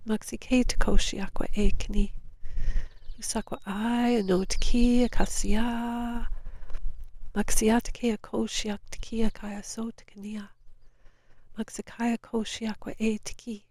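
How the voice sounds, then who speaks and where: Spiritual guide, woman, nasal from a stuffy nose, whispers and chants in a slow, even, dry-sounding delivery. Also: on the high side, gravelly, dry recording. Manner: soft whispered chanting of flowing syllables. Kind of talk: guided light language session. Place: outdoors on a beach.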